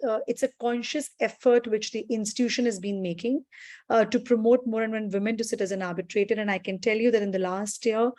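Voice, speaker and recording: A woman's voice: on the high side, darkish tone, dry recording. A woman, an arbitration registrar, explaining her points earnestly and with careful wording in a panel discussion.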